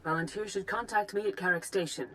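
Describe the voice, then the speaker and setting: A woman playing a grave, composed Jedi master in a video game, giving formal briefings with measured authority. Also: mid-range voice, fairly bright tone, lightly acted, poor audio. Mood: grave, urgent. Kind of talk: formal dramatic mission briefing.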